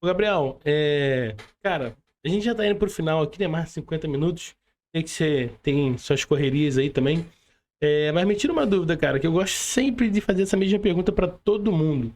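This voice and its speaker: A man's voice: fairly high pitch, expressive, fairly quick, darkish tone. A man with a Brazilian accent hosting a podcast, talking casually and often asking his guest to agree. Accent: Brazilian Portuguese. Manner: friendly, prompting, asks for agreement. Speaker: podcast host.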